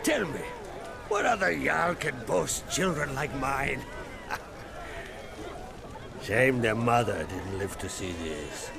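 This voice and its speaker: Gravelly old clan chief. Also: male voice, medium pitch, sing-song, slow pace, pauses often, background noise, full character voice. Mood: proud, wistful. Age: older. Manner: hearty, proud. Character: proud old clan chief, hearty doting warrior father. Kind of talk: game cutscene acting.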